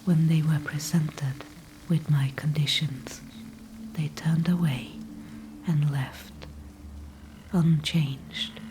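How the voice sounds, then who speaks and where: An ancient, calm dryad, whispered by a woman in an ASMR roleplay, her voice low, hushed and unhurried. She speaks in a dark, mellow whisper with formal, old-fashioned phrasing. Faint ambience sits behind her. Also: a little hiss or hum, rough recording. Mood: calm, knowing. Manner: soft unvoiced whisper throughout. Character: ancient female tree spirit, calm and wise.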